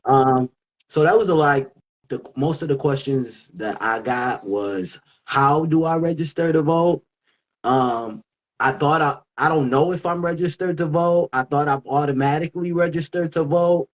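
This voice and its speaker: A man's voice: a little high, normal speed. Man in casual, lo-fi chat. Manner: informal, conversational, quotes himself. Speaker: talk show co-host, youth worker.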